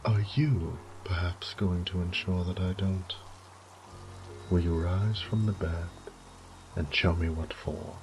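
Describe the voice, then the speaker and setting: A man playing a sadistic, condescending vampire lord in a roleplay audio drama, theatrical and taunting, with breathy whispered phrases. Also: low voice, steady tone, takes it slowly, a little mellow, soft background music. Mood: mocking, menacing.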